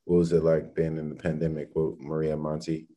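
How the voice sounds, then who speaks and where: A man interviews a guest in a very deep, dark voice that barely moves up or down. He talks in a laid-back way with easy slang. His questions come out calm and steady. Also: a little fast.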